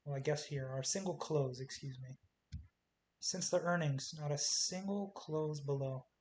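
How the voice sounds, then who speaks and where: A man talking casually through stock charts in short, clipped calls, his voice going hoarse as he says he is losing it. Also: a little high, moderate pace, a little mellow. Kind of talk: casual screen-share chart commentary. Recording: faint hiss.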